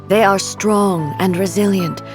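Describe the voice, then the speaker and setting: An actress plays a stately, resolute woman ruler in a game cutscene. Her voice sits at a middling pitch and she takes her time, grave and formal. Music plays softly underneath. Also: steady tone, unhurried, natural voice. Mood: solemn, resolute. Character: solemn, resolute female ruler, dignified and ageless. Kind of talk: dramatic video game cutscene acting.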